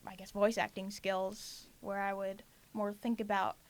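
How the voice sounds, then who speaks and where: A woman's voice: medium pitch, level tone, unhurried. Teenage female student, casual interview voice. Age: late teens. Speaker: student actor, interview guest.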